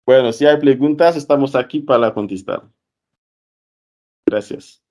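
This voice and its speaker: A man speaking Spanish as a second language, giving a student conference talk in a halting way, correcting himself often. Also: mid-range voice, a little mellow. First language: not Spanish. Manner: halting, self-correcting, with fillers.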